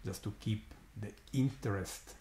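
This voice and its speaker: A man with an Italian accent speaks in an unhurried, halting way, full of small pauses and fillers, his words carried by quiet amazement. His voice sits a little low. The room around him adds a noticeable echo. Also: slight background noise. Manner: slow, halting, many fillers, awed. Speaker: astronomer hosting a live eclipse stream. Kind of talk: live-stream commentary.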